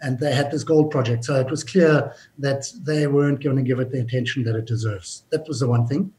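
A businesslike man tells his story as an interview guest. He often starts a phrase over before finishing it, and his pitch sits in the middle range. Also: steady tone, a little fast, mellow. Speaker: mining company executive, guest. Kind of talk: interview answers, recounting his career.

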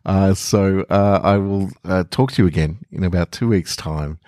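A man's voice: deep voice, moderate pace, dark tone, no echo, clean studio sound. A man hosting a podcast and reading short stories as a narrator. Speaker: podcast host and story reader. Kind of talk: scripted story narration.

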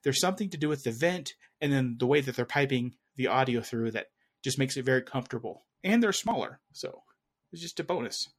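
A man's voice: medium pitch, animated, fairly quick, breathy edge. A man chatting casually as a tech podcast co-host, rambling a little and often correcting himself as he searches for words. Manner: rambling, hesitant, self-correcting. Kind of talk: casual chat.

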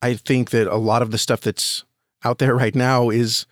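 A man speaking as a podcast guest, giving measured, thoughtful answers in a casual interview. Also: medium pitch, level tone, no room sound, studio quality.

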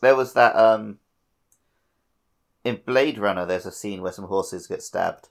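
A man's voice: fairly low pitch, a little slow, creaky. A man co-hosting a casual gaming podcast, rambling off into dry asides. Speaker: podcast co-host.

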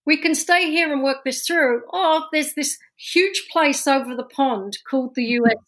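London lady guest on a podcast, fairly high voice, chatty and rambly, lots of restarts. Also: normal speed, a bit bright. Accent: London English. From London, England. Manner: storytelling, with frequent restarts and laughs. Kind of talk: casual interview talk.

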